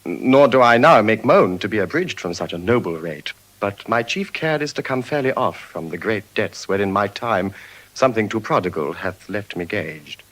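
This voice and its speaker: An actor with a British accent playing an ardent, spendthrift young Venetian gentleman, in eloquent classical Shakespearean stage style. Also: fairly low pitch, low-quality recording. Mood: earnest, confiding. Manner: eloquent, measured verse delivery with expressive swells.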